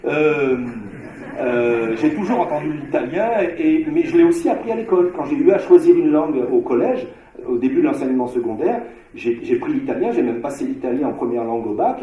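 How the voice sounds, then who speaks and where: A man from Marseille giving an academic conference lecture, discursive, with frequent filler pauses and restarts. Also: a little high, echoey room, highs cut off. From Marseille, France. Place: lecture hall.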